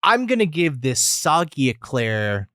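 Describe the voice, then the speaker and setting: A man's voice: medium pitch, big pitch swings, measured pace, fairly bright tone, no echo, studio quality. A man co-hosting a podcast in casual, jokey chat, reviewing food with emphatic opinions. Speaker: podcast co-host.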